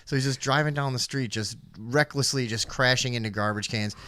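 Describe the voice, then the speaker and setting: A man's voice: medium pitch, fairly quick, pushed and bright. A man co-hosting a talk show, telling comedic stories casually with plenty of swearing and slang. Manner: profane, slangy.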